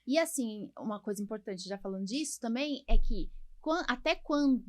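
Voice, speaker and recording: A Brazilian woman with a fairly high voice speaks as a podcast host and doctor. She explains in a conversational, colloquial way, often adding little tags to seek agreement. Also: animated, moderate pace.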